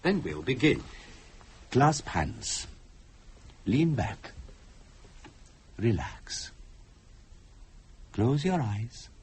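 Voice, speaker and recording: Very slow, solemn séance medium. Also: male voice, medium pitch, sing-song, darkish tone, a little hiss or hum. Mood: formal, composed. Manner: hypnotic, deliberate, incantatory, formal. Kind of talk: radio horror drama acting.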